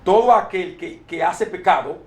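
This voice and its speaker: A man reading Bible verses aloud and explaining them in an informal discussion, often halting and repeating words. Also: high-pitched, lively intonation. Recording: echoey room.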